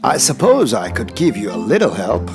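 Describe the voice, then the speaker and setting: A woman's voice: rumbling low, very animated, normal speed, over music. A woman voicing a lazy, vain, carefree male grasshopper in a children's cartoon, with a breezy, dismissive, singsong manner.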